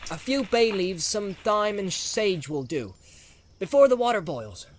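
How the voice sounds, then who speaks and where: A man's voice: high voice, sing-song, measured pace, slight background noise, pitched above the performer's own voice. A man voicing a plain-spoken, stubborn, practical hobbit servant with homely, firm phrasing in a storybook reading. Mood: coaxing, stern. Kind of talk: dramatised audiobook character voice.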